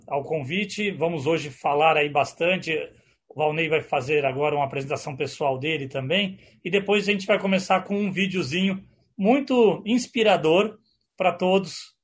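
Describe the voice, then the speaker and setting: A Brazilian man presenting informally on a video call as a company executive, speaking in a hesitant way with a husky voice. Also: a little high.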